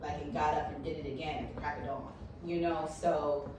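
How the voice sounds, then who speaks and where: A woman with a very low voice leads a panel and talks in an encouraging, preacher-like rhythm. Her voice reaches the mic from a distance across a very echoey room. A steady hum of room noise sits underneath her. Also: fairly quick. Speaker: panel moderator. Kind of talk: panel discussion.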